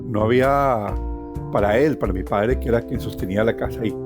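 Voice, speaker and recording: A man's voice: lowish voice, fairly quick. A middle-aged man with a Colombian accent tells his life story reflectively, repeating words for emphasis and ending phrases with little tag questions. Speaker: journalist telling his life story as podcast guest. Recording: soft plucked guitar music behind.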